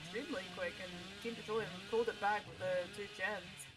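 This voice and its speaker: Excitable woman casting an esports match. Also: mid-range voice, music in the background. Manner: quick, excitable play-by-play with fillers. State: losing her voice. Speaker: esports caster. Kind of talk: live esports commentary. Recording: noisy stream audio.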